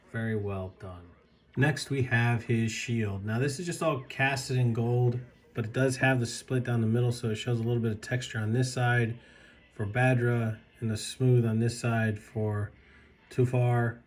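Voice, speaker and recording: Man, low-pitched, slightly raspy, casual toy review, halting with fillers. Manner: halting, with fillers and admiring asides. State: slightly raspy from recovering illness.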